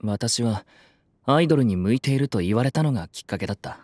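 A man's voice: mid-range voice, lively intonation, quick pace, darkish tone, lightly acted. An actor playing a serious, naive young male idol in an audio drama, stiff and formal. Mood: serious, puzzled. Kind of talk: Japanese audio drama acting.

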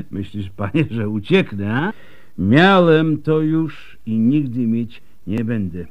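An actor playing a weary, wry old doctor scarred by smallpox in a radio drama. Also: male voice, mid-range voice, expressive, highs cut off. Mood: firm, sardonic. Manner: wry, world-weary, unhurried.

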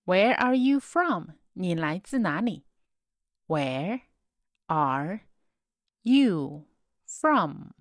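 Slow, clipped woman reading drill phrases. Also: medium pitch, dry recording, clean studio sound. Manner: says each word singly, then whole sentences. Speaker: English teacher.

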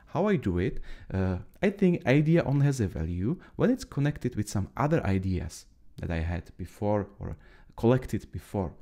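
Czech-accented man with a mellow, lively voice. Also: mid-range voice. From Czech Republic. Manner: halting, many fillers and restarts. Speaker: trainer. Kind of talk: screen-share tutorial.

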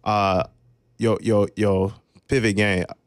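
A man's voice: lowish voice, little pitch movement, takes it slowly, smooth, no echo. Casual young male radio host, dry sound. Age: young adult. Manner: informal, slangy. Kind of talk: casual radio interview chat. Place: radio studio. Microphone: broadcast microphone up close.

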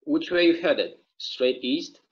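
A man's voice: a little high, expressive, slow pace. A young man playing a chatty, folksy small-town bartender in a table read of a stage play, rambling through local stories over a video call. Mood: friendly, curious. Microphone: video call microphone. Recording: dull, lo-fi video call audio.

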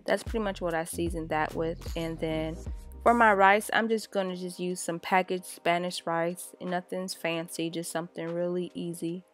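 A woman's voice: on the low side, normal speed, faint music behind. A woman, a mom chatting casually as she walks through her meal prep. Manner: chatty and relaxed, many fillers. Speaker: vlogger, mom cooking for her kids. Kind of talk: casual cooking voice-over.

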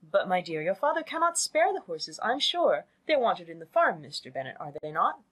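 A woman voicing a fussy, excitable middle-aged mother in a storybook, gushing and emphatic. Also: lowish voice, normal speed, husky, heavily acted. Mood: insistent.

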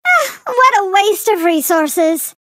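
A woman's voice: very high voice, sing-song, slow, bright, forceful tone, dry recording, heavily acted. A woman voicing a prim, doting and haughty motherly alien flea queen for a video game, theatrical and sing-song as she scolds and coos. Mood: stern, disdainful. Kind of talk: video game character voice lines.

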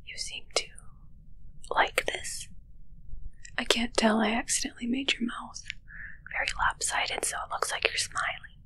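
A mischievous, fussy witch, acted by a young woman, whispers very close in an ASMR roleplay. The voice is extremely breathy, slow and crisp with bright sibilance. Teasing insults come out in a deadpan way. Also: fairly high pitch, heavily acted, poor audio. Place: small indoor set with curtains. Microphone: close ASMR microphone.

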